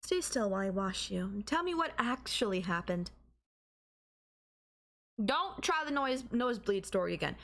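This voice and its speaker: A woman playing a doting, obsessive and calmly manipulative girlfriend in an intimate ASMR audio roleplay, coaxing and softly teasing. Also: medium pitch, normal speed, pauses often. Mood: calm, probing. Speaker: voice actor.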